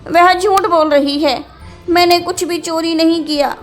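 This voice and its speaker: Woman acting a high-voiced, defensive cartoon granny. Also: fairly even intonation, a little slow, faint music behind. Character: defensive old village woman protesting innocence. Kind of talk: Hindi animated comedy acting.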